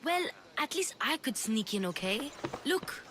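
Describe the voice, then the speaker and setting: A woman's voice: high voice, big pitch swings, a little slow, fairly bright tone, slight background noise, heavily acted. A woman playing an eager, plucky little street girl who fancies herself a spy in a video game. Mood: matter-of-fact. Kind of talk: dramatic video game acting.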